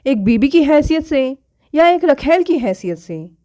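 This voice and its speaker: A woman narrator plays a proud modern wife in a family audio story. Her voice is fairly high, indignant and challenging, full of pointed rhetorical questions. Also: very animated, a little slow, mellow. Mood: indignant, hurt.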